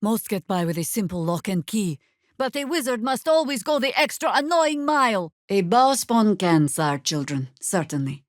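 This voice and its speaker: An actress voicing a stern, wry veteran druid woman in a fantasy video game, with a dry, sardonic, measured delivery. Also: mid-range voice, big pitch swings, no echo, close to an everyday voice. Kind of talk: fantasy game character dialogue.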